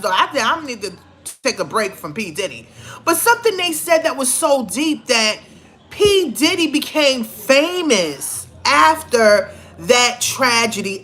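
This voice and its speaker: A woman's voice: high-pitched, big pitch swings, measured pace, fairly bright tone. A middle-aged woman giving casual, opinionated commentary in a conversational, emphatic way, repeating phrases for stress. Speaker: vlogger.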